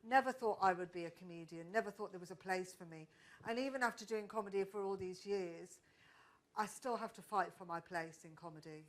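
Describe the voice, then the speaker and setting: A woman comedian talking candidly on a live stage panel, her voice at an ordinary middle pitch. She is reflective and often breaks off to restart a thought. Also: fairly quick. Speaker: stand-up comedian, panel guest. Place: stage at a live podcast event.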